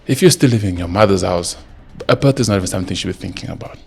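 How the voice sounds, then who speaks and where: A man's voice: deep voice, rapid-fire, gravelly, faint music behind. A man in his early thirties hosting a solo podcast, lecturing emphatically and speaking straight to the listener. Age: early 30s. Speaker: podcast host. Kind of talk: solo motivational monologue.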